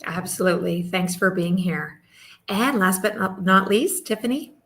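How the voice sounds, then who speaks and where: A woman's voice: medium pitch, moderate pace, a bit bright, slight background noise. A woman moderating an online panel, upbeat and welcoming, speaking over a video call.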